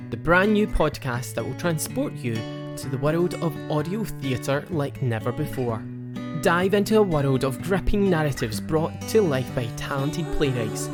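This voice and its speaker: A woman announcer reading a scripted podcast advert. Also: low-pitched. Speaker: promo announcer. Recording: loud guitar music bed. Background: loud plucked guitar music.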